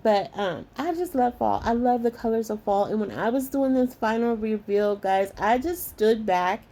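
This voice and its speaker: Gravelly-voiced woman narrating a craft vlog. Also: fairly high pitch, moderate pace. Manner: warm, chatty, calls viewers lovies and y'all. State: slightly hoarse, voice going. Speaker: craft channel host. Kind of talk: voice-over craft tutorial, chatty.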